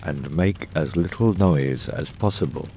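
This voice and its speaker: A man plays a masterful detective in an audiobook drama, with a very deep and dark voice. He is grave and theatrical, speaking in measured, eloquent, commanding phrases. A faint noise sits behind him.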